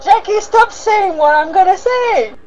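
A woman's voice: very high voice, animated, a little slow, highs cut off, slight background noise. A woman from France, chatting politely but gushing in a casual fan commentary.

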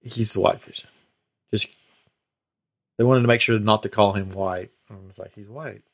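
Chatty male podcast host, animated storyteller. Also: fairly low pitch, normal speed. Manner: animated retelling, acting out little quotes and sound effects. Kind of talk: casual podcast banter.

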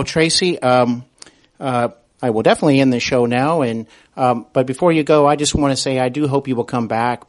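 A man hosting a podcast, speaking in a polite, somewhat halting way. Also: mid-range voice, no echo. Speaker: podcast host. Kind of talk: interview talk and show announcements.

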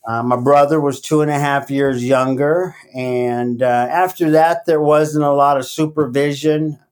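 A middle-aged man raised in Latin America, speaking as a podcast guest in a casual, halting way with many fillers and restarts. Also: fairly high pitch, fairly even intonation, a little mellow. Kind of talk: casual interview.

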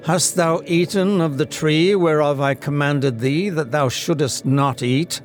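A man's voice: fairly high pitch, measured pace. Solemn theatrical man reading scripture over music. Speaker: classically trained actor reading scripture. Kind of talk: dramatic reading of King James scripture.

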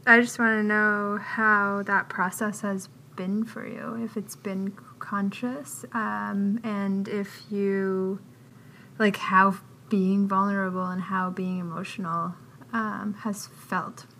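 A woman interviewing a guest casually, asking thoughtful questions slowly with fillers. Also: mid-range voice, little pitch movement, sharp tone, slight background noise, low-quality recording.

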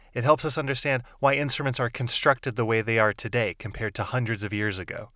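Calm low-voiced man explaining music. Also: no room sound, clean studio sound. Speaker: podcast host. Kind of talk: scripted explanatory narration. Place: home office.

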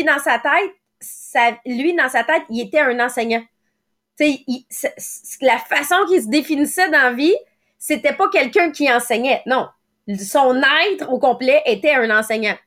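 High-voiced Québécoise woman, late thirties. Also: fairly bright tone. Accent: Quebec French. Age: late 30s. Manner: quick, conversational, acts out little quoted thoughts. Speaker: podcast host. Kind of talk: motivational morning podcast talk.

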